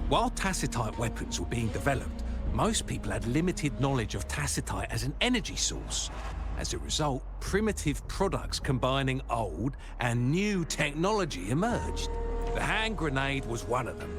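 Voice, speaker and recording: An actor playing an earnest, talkative military rearguard soldier in a video game, explaining things in a chatty, slightly hesitant way. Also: male voice, medium pitch, lightly acted. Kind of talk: video game dialogue acting. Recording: background music.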